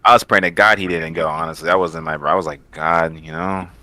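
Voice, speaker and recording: A young man from Texas, co-hosting a casual podcast and teasing the others with quick interruptions. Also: low voice, fairly even intonation, pushed and bright, no room sound. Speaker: podcast co-host.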